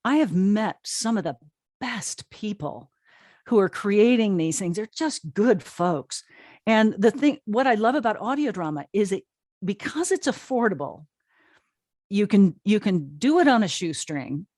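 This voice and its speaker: A woman's voice: medium pitch, big pitch swings, measured pace, a little mellow, no echo, clean studio sound. A woman giving conversational interview answers in an enthusiastic, emphatic and informal way. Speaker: interview guest, audio drama streaming co-founder.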